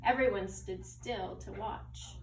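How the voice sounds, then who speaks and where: A woman's voice: medium pitch, takes it slowly, creaky. A woman schoolteacher reading a children's story expressively and explaining things to young pupils as she goes. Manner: expressive, teacherly, explains words. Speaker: second grade teacher. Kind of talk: read-aloud of a children's story with asides to pupils. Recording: roomy echo, distant mic, faint noise.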